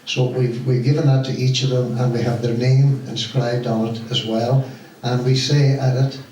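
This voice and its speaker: A middle-aged or older man with a Donegal Irish accent gives an informal, deliberate thank-you speech to an audience as a pantomime director. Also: mid-range voice, flat delivery, a little mellow. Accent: Irish, County Donegal. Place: stage of a community hall. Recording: very echoey hall, distant mic, faint noise.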